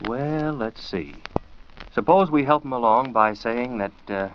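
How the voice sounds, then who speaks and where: An actor playing a confident, cool amateur detective in 1940s radio style, assured and commanding. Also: male voice, mid-range voice, unhurried, close to an everyday voice. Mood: genial, hosting. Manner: taunting, assured, crisply commanding. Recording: muffled, noisy 1940s radio recording.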